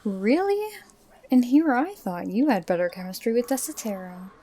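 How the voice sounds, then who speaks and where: Wry, diplomatic female adventurer played by an actress, higher voice with relaxed tone, audio drama interviewer. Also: moderate pace, lightly acted. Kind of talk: fantasy audio drama acting.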